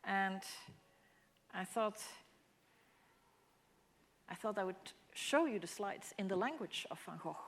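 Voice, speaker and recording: A woman's voice: mid-range voice, expressive, takes it slowly, long pauses. Dutch-speaking woman lecturing in a reverberant hall. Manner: explanatory, many restarts and fillers. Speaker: mathematics lecturer. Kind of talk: public lecture with slides.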